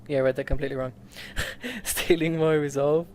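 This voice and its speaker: A man's voice: on the high side, steady tone, normal speed, darkish tone, slight background noise. A man hosting a let's play, reading a visual novel aloud with stumbles, self-corrections and laughs.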